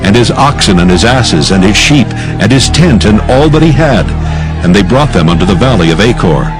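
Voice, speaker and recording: A man giving solemn, measured scripted narration for a dramatized scripture recording. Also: fairly low pitch, expressive, rough recording. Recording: music behind the voice.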